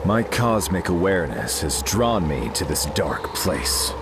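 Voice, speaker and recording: A lofty cosmic warrior from a video game, formal and portentous. His low voice rises and falls dramatically over a clear rumbling ambience. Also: very animated, unhurried, noisy background. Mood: solemn. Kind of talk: video game character lines.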